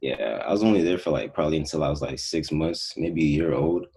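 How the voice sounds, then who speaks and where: A man's voice: very deep voice, a little fast, gravelly, a little mellow, breathy edge. A young man from Houston, a podcast guest, chatting in a laid-back, slangy way with trailing phrases. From Houston, Texas. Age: young adult. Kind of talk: casual chat.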